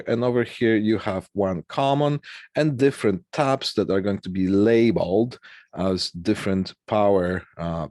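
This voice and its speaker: A man with a fairly deep voice, recorded close and dry with little room sound. He speaks as an instructor walking through technical slides. His explanations are informal and halting, punctuated by small tags that check the listener is following. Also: a little mellow, dry recording.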